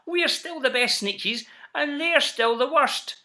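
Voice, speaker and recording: A man's voice: very high voice, slow, sharp tone, pitched above the performer's own voice, full character voice. A man voicing snooty, boastful storybook creatures with a haughty, crowing manner. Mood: boastful, loud. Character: snooty, boastful Sneech creatures, indignant and gloating.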